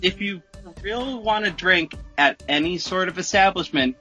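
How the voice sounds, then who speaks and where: A man telling a personal story as a podcast guest in a casual, animated, profane, slangy way. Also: high voice, normal speed, lo-fi sound, soft background music.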